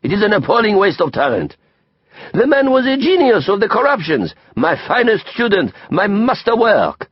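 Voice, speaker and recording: Audiobook role: florid, opinionated French man of the world; French accent, animated high-lifted delivery, husky edge. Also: moderate pace, dry recording, lo-fi sound. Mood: dramatic dismay. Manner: emphatic, declamatory, repeats phrases for effect. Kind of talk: audiobook character acting.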